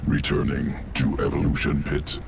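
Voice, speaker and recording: A man voicing a detached alien scientist creature for a video game, gravelly and processed, in clipped, emotionless phrases. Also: very deep voice, level tone, normal speed, recorded from a distance, background noise, full character voice. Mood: clinical, detached. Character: clinical alien evolution-engineer creature, detached, obsessive.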